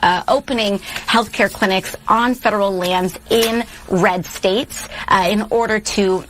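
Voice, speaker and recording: A woman speaking as a reporter putting a question to an official, in a halting manner. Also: medium pitch, fairly even intonation, a bit bright, a little hiss or hum, low-quality recording.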